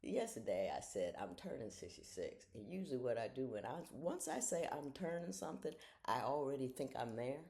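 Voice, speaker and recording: A woman in her sixties with a gravelly edge to her voice, her pitch rising and falling freely as she talks. She speaks in a frank, folksy way and often slips into soft, half-whispered asides. She is at home in the early morning, leaning toward the camera. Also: lively intonation, a little fast, few pauses. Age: 60s. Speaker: retired judge giving life advice. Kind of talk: casual reflective talk to viewers. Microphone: camera she leans toward.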